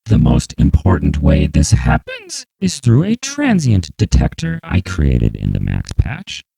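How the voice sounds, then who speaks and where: A narrator explaining an electronic music piece in slow, exaggerated, very proper enunciation, processed through a vocoder with shifting synthesizer tones. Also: huge pitch swings, vocal fry, mellow. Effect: vocoder, randomly shifting synthesizer pitch and chords. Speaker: composer narrating own piece.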